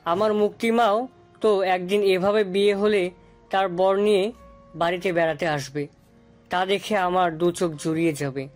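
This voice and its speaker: A man voicing a gentle father who speaks tenderly to his grown daughter in an audio story. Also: high-pitched, steady tone, normal speed, natural voice. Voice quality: tender, soft-spoken. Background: soft music.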